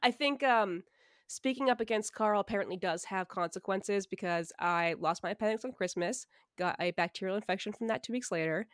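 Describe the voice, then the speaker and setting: A woman's voice: medium pitch, fairly quick, no echo, studio quality. A woman co-hosting a podcast in casual chat, sick and a little nasal and scratchy, wry and self-mocking with frequent laughs. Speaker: podcast co-host.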